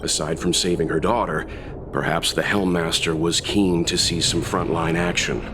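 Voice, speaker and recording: A man voicing a calm, courteous traveling warrior in a video game, speaking in a polite, measured, formal way. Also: low-pitched, noisy background, close to an everyday voice. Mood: reflective, calm.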